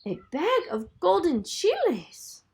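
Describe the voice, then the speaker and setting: A woman voicing an eager, nervous young boy in a children's story, with an excitable delivery. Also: extremely high pitch, takes it slowly, creaky, voice pushed up, exaggerated voice. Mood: frightened pleading.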